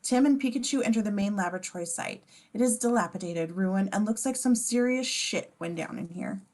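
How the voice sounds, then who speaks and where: A woman's voice: medium pitch, a little fast, darkish tone. A woman narrating as scene description reader, reading screenplay stage directions aloud at a table read.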